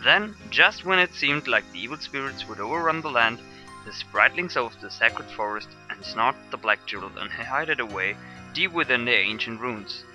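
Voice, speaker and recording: A German-accented man gives loose let's-play commentary in a very bright, cutting voice. He rambles and hesitates, filling gaps with ums and uhs. Faint game music plays in the background. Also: mid-range voice, animated, normal speed. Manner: rambling, hesitant with many fillers. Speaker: let's player. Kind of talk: casual gameplay commentary.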